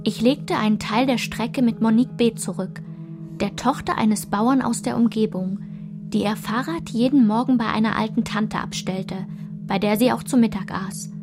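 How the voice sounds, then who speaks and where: Actress as observant woman recalling school days, higher-pitched voice, precise literary radio narration, clear musical backing. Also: normal speed, music in the background, lightly acted. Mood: reflective.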